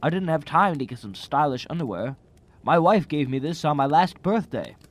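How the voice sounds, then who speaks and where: A man's voice: on the high side, lively intonation, normal speed. Man playing a quaint, lilting, naive old angel. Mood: earnest, matter-of-fact. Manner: quaint, prim, earnest. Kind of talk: radio drama acting.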